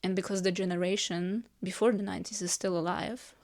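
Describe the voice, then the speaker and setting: A woman's voice: fairly low pitch, fairly even intonation, brisk, darkish tone. A woman chatting casually with friends, reassuring them and explaining at length, with a lot of "like" fillers. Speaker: friend giving feedback. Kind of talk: casual chat among friends.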